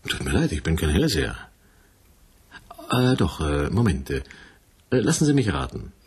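An actor playing a brusque, domineering military major in a radio play, with a rough, husky voice and a curt, sarcastic manner. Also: male voice, very deep voice, very animated, normal speed, heavily acted. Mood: sarcastic, unyielding. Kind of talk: 1980s German radio play acting.

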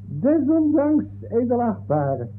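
High-voiced, dignified football referee. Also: male voice, very animated, slow pace, heavily acted. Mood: aggrieved, dignified. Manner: formal, deferential. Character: earnest, dignified, aggrieved male football referee. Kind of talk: 1960s comic radio play acting. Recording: noisy narrow-band old radio recording.

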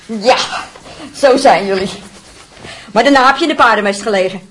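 A woman's voice: fairly high pitch, normal speed, natural voice, rough recording. An actress playing a cheeky young woman in a radio drama, teasing and unimpressed. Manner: teasing, lively.

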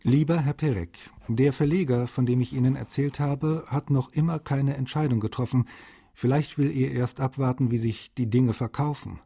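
A man's voice: medium pitch, steady tone, normal speed, gravelly, dark tone, breathy edge. An actor playing a cordial literary translator, reading his letters aloud in a radio documentary. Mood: cordial, frank.

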